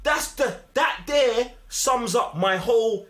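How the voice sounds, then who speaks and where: Animated, high-pitched London man. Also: takes it slowly. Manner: emphatic, insistent, exasperated. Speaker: film review host. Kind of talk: casual film debate, heavy slang.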